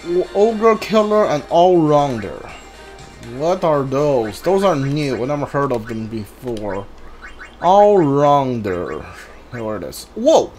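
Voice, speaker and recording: A gamer talks through a playthrough in a casual way, thinking aloud in halting fragments. The pace is slow and the pitch is mid-range but moves around a lot, with game music playing behind. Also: darkish tone. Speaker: gamer, streamer.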